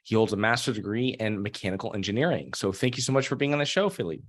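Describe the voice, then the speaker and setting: A man hosting a podcast who reads a punchy ad pitch and then chats loosely with his guest. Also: lively intonation, fast. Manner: punchy sales pitch in the promo, loose in chat. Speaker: podcast host. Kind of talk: promo read and interview hosting.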